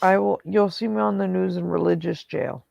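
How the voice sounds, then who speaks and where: Gravelly chatty woman host. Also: fairly low pitch, steady tone, normal speed, warm tone, dry recording. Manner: chatty storyteller, quotes herself in asides. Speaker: stream host. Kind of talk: casual group chat on a stream.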